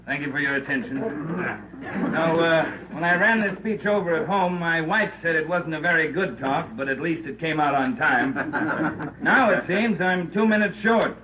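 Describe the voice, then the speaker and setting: A weary middle-aged police veteran played by a male actor lectures in blunt, emphatic radio-drama style. His voice sits a little high for a man. The vintage recording is muffled with faint noise. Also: normal speed, natural voice. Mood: wry, formal. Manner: earnest, emphatic, plain-spoken. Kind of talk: plain-spoken speech in a radio drama. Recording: dull, noisy old radio recording.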